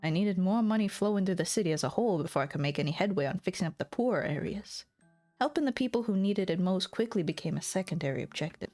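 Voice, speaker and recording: A woman voicing a weary, embittered, ambitious lord who narrates the story in a measured noir manner. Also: fairly low pitch, lively intonation, darkish tone, soft background music, natural voice. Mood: weary, resolute. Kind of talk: scripted dramatic narration.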